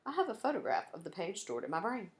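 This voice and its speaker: A woman voicing a confident, precise schoolgirl with a sharp memory for a children's storybook. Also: fairly low pitch, very animated, fast talker, voice pushed up.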